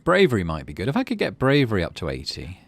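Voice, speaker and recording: A man's voice: on the low side, wildly swooping pitch, fast, creaky. A middle-aged man giving casual let's play commentary and story narration, thinking aloud as he goes. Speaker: gaming video host.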